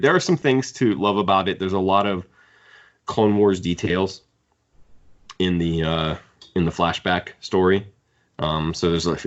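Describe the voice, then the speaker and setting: Deep voiced guy podcasting about books, casual and opinionated, kinda halting, muffled lo-fi sound. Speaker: podcast host. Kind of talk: casual book discussion. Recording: dull lo-fi podcast audio.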